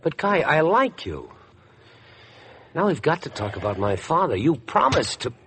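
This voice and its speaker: A man's voice: on the high side, very animated, moderate pace, a bit bright. An actor in a 1950s radio drama playing an unhinged, clinging man with a dazed, childish wheedle. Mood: wounded, pleading. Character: unhinged, needy, clinging male psychopath. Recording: old radio broadcast with background noise.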